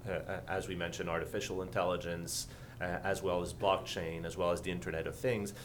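A man from Quebec with a Quebec French accent, a visiting law professor speaking haltingly with many fillers in a podcast interview. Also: low-pitched, level tone, a little hiss or hum. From Quebec, Canada.

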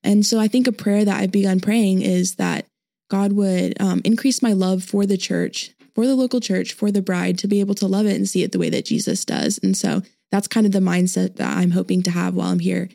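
A young woman, a university student, answering interview questions in a relaxed, thoughtful way in a studio. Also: medium pitch, fairly quick, gravelly, no echo. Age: young adult. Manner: relaxed and thoughtful, some ums.

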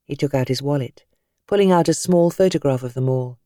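A British woman reading fiction as an audiobook narrator, with measured, expressive, dramatic storytelling. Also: a bass voice, dry recording, clean studio sound.

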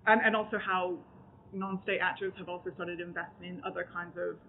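A woman's voice: lowish voice, steady tone, brisk. A woman author answering questions at a book event, speaking in a thoughtful, academic way and searching for her words as she goes. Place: event room in front of an audience. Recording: tinny phone-like sound with faint noise.

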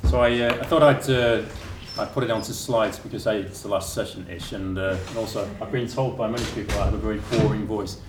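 A man gives a conference presentation in a lecture room, his lowish voice halting and full of fillers and restarts. He is picked up from a distance by the room mic, so the sound is roomy with a faint hiss underneath. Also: steady tone. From England. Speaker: academic speaker. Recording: echoey room from far off with faint noise.

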